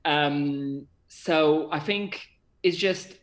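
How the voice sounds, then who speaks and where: A man's voice: fairly high pitch, drawn-out words. Young man in an echoey room. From Portugal. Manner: effusive, enthusiastic. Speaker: guest, theatre director and actor. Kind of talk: casual video-call interview. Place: indoor room, video call. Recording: echoey room over video call.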